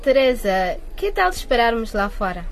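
A woman's voice: on the high side, big pitch swings, moderate pace. A woman playing a friendly, considerate teenage girl in a radio drama, with a conversational delivery. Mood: friendly, curious.

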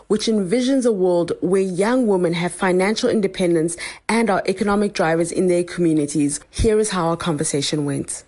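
A woman's voice: fairly low pitch, darkish tone. A woman hosting a podcast, reading an introduction and then interviewing her guest with lots of encouraging affirmations. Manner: engaged, affirming with frequent backchannel. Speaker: podcast host.

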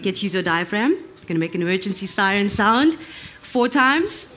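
A woman's voice: medium pitch, expressive, moderate pace, a bit bright. A woman, upbeat and encouraging as she hosts a live audience session. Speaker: presenter, audience warm-up leader.

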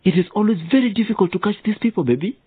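A worried, soothing middle-aged father. His raspy voice is somewhat high and swings widely, in radio drama style over thin phone-like audio. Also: animated, rapid-fire, no echo. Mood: worried, cautioning. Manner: soothing, cautioning. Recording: narrow phone-like sound.

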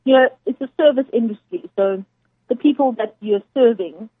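A South African woman with a husky, slightly high voice, talking casually and emphatically as a guest chef, laughing often. The sound is dry and narrow, like a radio line heard over a phone. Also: normal speed, no room sound. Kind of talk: casual interview chat.

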